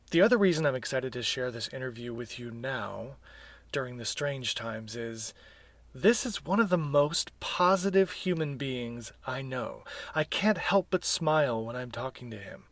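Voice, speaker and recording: A man's voice: medium pitch, no echo. Middle-aged American man, dry close sound. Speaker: podcast host and interviewer. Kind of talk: scripted introduction and live conversational interview.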